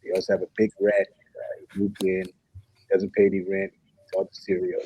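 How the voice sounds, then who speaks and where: A man in a comedy table read playing an apologetic, flustered suitor who stammers and fibs, very polite and halting. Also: sits low, completely flat delivery, dark tone, husky, dry recording, poor audio. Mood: sheepish warning. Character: apologetic, flustered man, nervously fibbing to impress his date.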